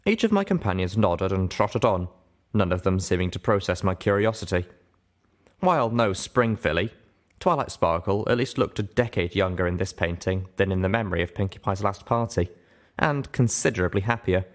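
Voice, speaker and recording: A man's voice: low-pitched, animated, normal speed. A man reading a scripted first-person adventure story as an audiobook narrator.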